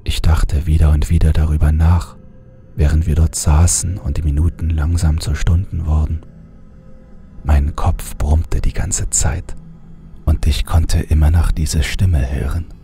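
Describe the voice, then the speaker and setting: Deep dark guy reading a creepy story, slow and calm, soft music underneath. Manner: calm, measured first-person reading. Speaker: horror audiobook narrator. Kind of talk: scripted horror story narration.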